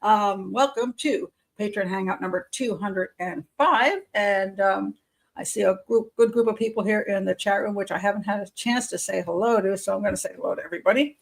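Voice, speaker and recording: A woman who works as a criminal profiler hosts a casual live members' show, rambling and chatty, losing her voice and clearing her throat. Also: mid-range voice, fairly quick. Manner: chatty and rambling, with frequent fillers and soft laughs. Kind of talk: casual live-stream chat.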